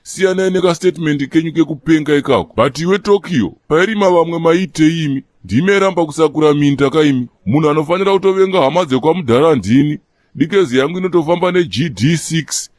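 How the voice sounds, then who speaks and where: A man voicing a boastful, scornful character in an animated comedy, brash and dismissive as he banters in slang with friends. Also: on the high side, fast talker, natural voice. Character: boastful, scornful man grumbling about relatives among friends.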